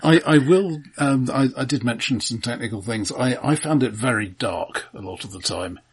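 A man co-hosting a film podcast, talking casually in a measured way with dry, wry humour. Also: medium pitch, slightly rough.

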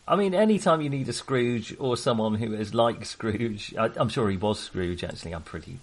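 A man's voice: on the low side, lively intonation, moderate pace. A man co-hosting a casual film discussion, chatty, enthusiastic and full of opinions. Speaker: film podcast co-host.

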